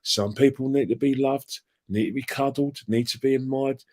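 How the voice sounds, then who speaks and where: A man's voice: mid-range voice, steady tone, normal speed, darkish tone. A man, ranting in a heated casual chat about football fandom and trading colourful insults. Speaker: fan channel guest.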